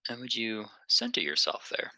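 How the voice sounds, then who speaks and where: A man leading a guided meditation in a gentle, unhurried, conversational way, pausing between phrases. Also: medium pitch, huge pitch swings, no room sound, poor audio.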